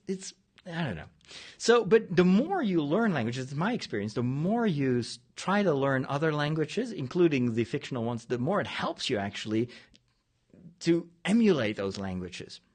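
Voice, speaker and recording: A man with a Dutch accent, a priest hosting a show, talking in a casual, digressive monologue and often searching for words mid-sentence. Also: high-pitched. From Netherlands. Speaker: priest and podcast host.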